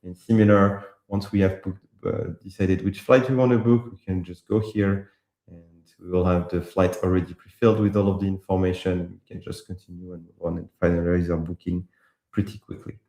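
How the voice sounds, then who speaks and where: A man from France with a French accent, casually walking through a live software demo, with many pauses and restarts. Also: low-pitched, little pitch movement, reverberant. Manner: casual, hesitant with frequent uh and restarts. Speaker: presenter demoing apps. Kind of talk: live tech demo walkthrough.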